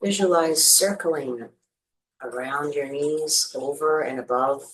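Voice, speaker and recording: A meditation teacher leading a guided practice, giving gentle, soothing instructions with pauses. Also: mid-range voice, poor audio.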